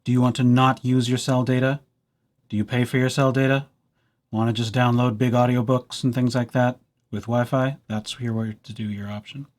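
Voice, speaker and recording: A man presenting a casual software tutorial as a library host, with playful, wry asides. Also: mid-range voice. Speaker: library presenter hosting a digital services tutorial.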